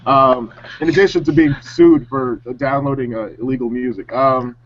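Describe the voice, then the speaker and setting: Male panelist, medium pitch, casual and opinionated, slightly lo-fi sound. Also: fairly even intonation, normal speed, slight background noise. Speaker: panelist on a music talk show. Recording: slightly dull, muffled audio.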